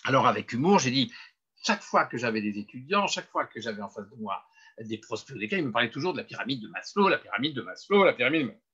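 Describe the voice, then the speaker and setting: A man's voice: medium pitch, big pitch swings, fast, bright, forceful tone, slightly rough. An older man from France giving a webinar presentation on marketing, lecturing emphatically with self-deprecating humor. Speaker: webinar speaker. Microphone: webinar computer mic. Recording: muffled lo-fi webinar audio.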